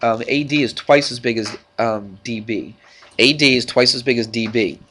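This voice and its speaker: A man's voice: medium pitch, normal speed, fairly bright tone, a little hiss or hum. A man with a stuffed-up nose teaching math to a class, talking informally and checking in with frequent questions. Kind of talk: classroom worked-problem lesson.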